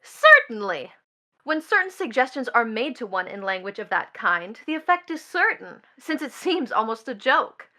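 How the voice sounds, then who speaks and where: A woman's voice: a little high, very animated, moderate pace, bright, forceful tone. An actress playing a defiant, scornful young woman in a stage play, imperious, mocking and melodramatic. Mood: bitterly mocking. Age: young adult.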